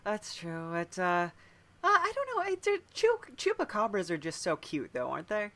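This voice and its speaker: A man's voice: high-pitched, huge pitch swings, normal speed, bright, forceful tone. A man hosting a casual interview chat, speaking in a quirky, hesitant way and often trailing off. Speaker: podcast host, interviewer.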